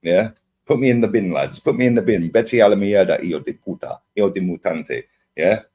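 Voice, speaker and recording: A man's voice: on the low side, fairly quick, warm tone, breathy edge. A young man talking football as a guest, tagging his sentences with "yeah?" and "bro". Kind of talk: casual football chat.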